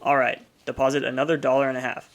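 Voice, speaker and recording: Guy doing a businesslike phone operator in a radio play, crackly fry in the voice, very procedural, slight noise. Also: medium pitch, level tone, fast talker, close to an everyday voice. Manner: formal, businesslike. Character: brisk, procedural telephone operator. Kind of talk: radio drama acting.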